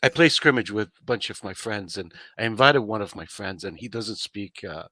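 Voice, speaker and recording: Man, native Arabic speaker, thoughtful podcast talk, bright tone, dry close sound. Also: fairly low pitch, animated, normal speed, dry recording. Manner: thoughtful, explains step by step, checks in with "right?". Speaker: podcast panelist. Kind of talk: casual podcast chat.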